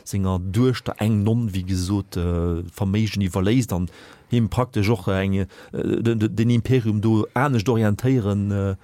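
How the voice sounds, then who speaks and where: A man with a Luxembourgish accent speaking as a critic in an unscripted film discussion, haltingly repeating words as he reasons. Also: lowish voice, steady tone, dark tone, no room sound. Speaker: film critic on a radio panel.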